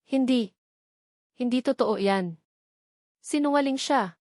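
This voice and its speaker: A woman voicing a defiant, cornered female villain, indignant and loud, in a dramatic story. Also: high-pitched, takes it slowly, dry recording, clean studio sound, pitched above the performer's own voice. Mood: frantic denial. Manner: shouting, indignant.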